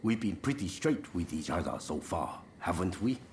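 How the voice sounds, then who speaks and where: A man's voice: low voice, big pitch swings, moderate pace, a little mellow, slight background noise. A man playing a frank, informal chief in a samurai video game, with a blunt way of talking. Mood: frank, agreeable. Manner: blunt, informal, plain-spoken. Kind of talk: video game cutscene acting.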